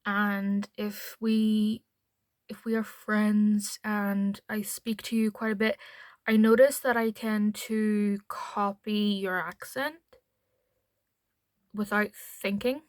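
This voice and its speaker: A woman's voice: mid-range voice, level tone, takes it slowly, no echo, studio quality. A woman with a mild Northern Irish accent chatting casually to camera at home, rambling into asides as a beauty vlogger. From Northern Ireland.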